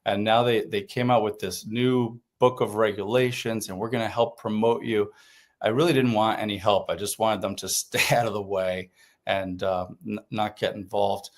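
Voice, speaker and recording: A man's voice: on the low side, fairly even intonation, normal speed. A man from Puerto Rico whose first language is Spanish, a guest reflecting in an interview with frequent restarts and fillers. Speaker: podcast guest. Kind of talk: conversational interview.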